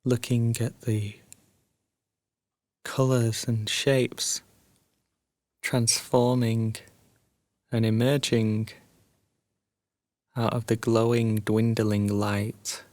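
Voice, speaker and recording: A man guiding a sleep meditation as a narrated story, soothing and hushed, leaving long pauses between phrases. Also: medium pitch, flat delivery, dry recording.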